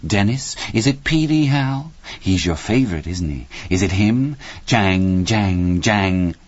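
A man's voice: lowish voice, lively intonation, normal speed, a little mellow, breathy edge, dry recording, highs cut off, voice pushed up. Man voicing a malevolent, taunting toy monkey. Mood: sinister taunting.

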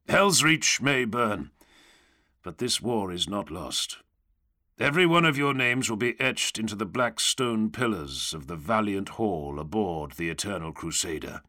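A man voicing a grim, solemn warrior-priest knight in a low, bleak drawl, intoning tersely like a preacher in an audiobook. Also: very animated, measured pace, sharp tone, heavily acted. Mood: solemn, resolute.

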